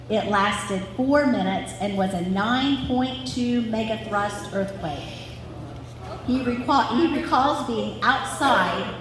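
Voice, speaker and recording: Woman reading out a written family story, medium pitch, big echoey hall, noisy background. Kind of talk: scripted storytelling read aloud to a live audience. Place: large reverberant room at a family gathering.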